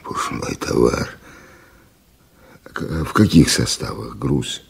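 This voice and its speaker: A cold, bitter general. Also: male voice, very deep voice, slow, creaky, breathy edge, exaggerated voice. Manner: curt commanding orders, bitter sarcasm. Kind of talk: archival radio play acting.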